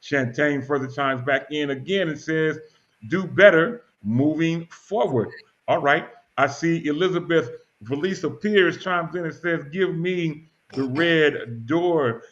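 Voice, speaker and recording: A man's voice: on the high side, slow, fairly bright tone. A man hosting a casual live talk show, easygoing and chatty, reading out viewer comments and often stumbling with "uh" and repeated words. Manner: easygoing, repeats himself and fills with "uh". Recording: dull lo-fi audio.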